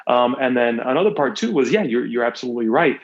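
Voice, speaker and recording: A man's voice: medium pitch, animated, fast talker, muffled recording. A man speaking candidly as an interview guest, telling personal stories conversationally, repeating phrases for emphasis and voicing quotes. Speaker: podcast guest, former athlete and coach.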